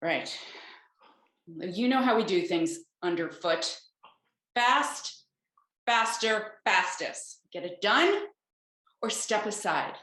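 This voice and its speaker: A woman's voice: mid-range voice, expressive, takes it slowly, pauses often, sharp tone. A woman playing a demanding, menacing tech boss, curt and condescending, in a comedy table read on a video call. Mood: curt, commanding. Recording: echoey room on video call.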